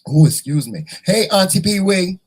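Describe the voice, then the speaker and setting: A hoarse man hosting a casual morning livestream, chatting and teasing his viewers in a folksy way. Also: high voice, animated, moderate pace, a little hiss or hum. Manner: folksy, teasing, talks to the chat; repeats words and restarts often. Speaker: morning show host.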